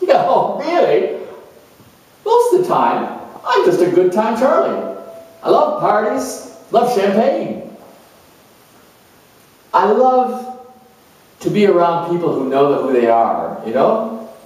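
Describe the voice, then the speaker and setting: A man acting out a vain, self-important company boss in a solo stage dramatization, theatrical and grandiose with dramatic pauses. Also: high-pitched, big pitch swings, darkish tone, exaggerated voice. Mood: jovial, preening. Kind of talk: solo astrological character dramatization. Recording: echoey room, distant microphone.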